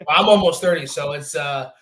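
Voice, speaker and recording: A man's voice: a little high, brisk, crowd noise. A young man in his late twenties hosts a laid-back sports show. He talks in loose, rambling runs, full of ums and restarts, and keeps the chat moving between guests. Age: 20s. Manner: chatty, rambling, many fillers. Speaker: podcast host. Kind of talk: casual sports talk.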